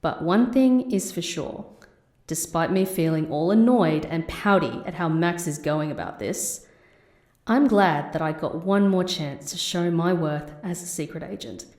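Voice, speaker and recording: A woman's voice: fairly low pitch, expressive, a little slow, a little mellow. An actress playing a reluctant, sardonic female ex-secret agent with a dry, grudging manner in an audio drama. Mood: reflective. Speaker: voice actor. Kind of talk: anime-style audio drama acting.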